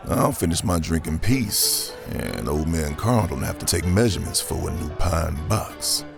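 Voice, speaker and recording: An actor playing a cocky, dangerous gunslinger in a Western audio drama, with a gravelly Southern American drawl and theatrical, taunting delivery. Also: male voice, rumbling low, expressive, normal speed, over music, a crowd in the background, heavily acted. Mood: cool, taunting.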